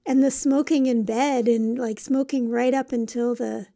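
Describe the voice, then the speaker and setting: A woman co-hosting a casual podcast chat, her voice croaky and strained from a cold. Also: on the high side, fairly even intonation, moderate pace, mellow. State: has a cold. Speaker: podcast co-host, company co-founder.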